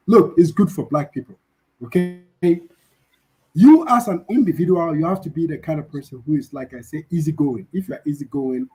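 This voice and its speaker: A slightly high, husky-voiced man chatting casually as a livestream host. He talks in a relaxed, easygoing way, often repeating himself and checking that the listener follows. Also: low-quality recording. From Africa.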